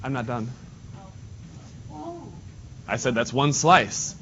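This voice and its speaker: A man's voice: takes it slowly, bright, forceful tone. A male math teacher with a nasal voice, teaching a class in a casual way and talking to students while he works at the board. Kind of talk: classroom lesson. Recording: dull lo-fi sound, faint rumble.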